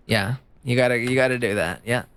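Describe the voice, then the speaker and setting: A man streaming live with a squeaky voice, chatting with his chat in a rambling, jokey way that jumps up high when he gets excited. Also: fairly quick, smooth. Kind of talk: casual livestream chat reading chat. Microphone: streaming mic.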